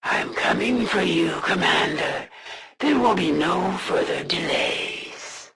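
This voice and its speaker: An actress playing a proud, menacing alien assassin in a video game, speaking in a formal, haughty, threatening way. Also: female voice, fairly low pitch, lively intonation, slow, vocal fry, fairly bright tone, slight background noise, exaggerated voice. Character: proud alien assassin warrior, female, menacing, honor-bound, disdainful. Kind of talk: scripted video game villain voice acting.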